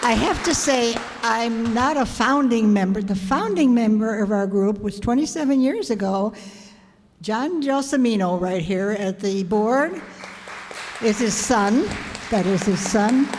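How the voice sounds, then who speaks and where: Woman, impromptu group remarks, slightly high pitch, echoey hall, noisy audience. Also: expressive, unhurried, a crowd in the background. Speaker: theater group member giving thanks.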